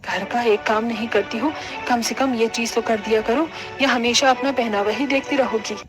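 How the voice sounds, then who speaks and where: A woman's voice: fairly high pitch, steady tone, normal speed, music in the background, natural voice. A woman playing a stern middle-aged mother-in-law in a family cartoon, speaking bluntly. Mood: scolding. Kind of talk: Hindi cartoon family drama dialogue.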